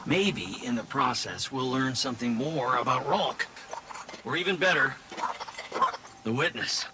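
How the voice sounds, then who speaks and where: A man voices a friendly, talkative ally who rambles and trips over his words in a loose, informal way. His pitch sits in an everyday middle range. A steady hiss runs behind him. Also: unhurried, natural voice. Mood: casual. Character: chatty, friendly male ally in a game.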